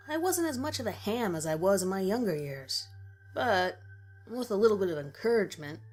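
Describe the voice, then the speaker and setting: Woman narrating a story over music. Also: medium pitch. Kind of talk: scripted narration of a first-person horror story.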